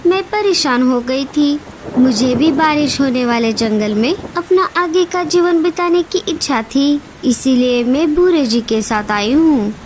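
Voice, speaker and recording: Woman voicing a high, slow-talking polite female crow. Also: expressive, a little mellow, a little hiss or hum, poor audio. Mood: polite, earnest. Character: female crow newcomer, polite, weary of drought. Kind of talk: Hindi cartoon story dialogue.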